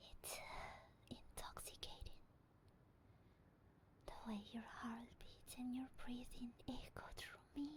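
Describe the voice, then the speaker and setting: Woman playing a seductive sadistic demoness, ASMR roleplay, hushed breathy whisper, unhurried pace, obsessive, intimate. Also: on the high side, little pitch movement. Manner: slow, teasing, intimate. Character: obsessed female sleep paralysis demon, possessive, sadistic, seductive.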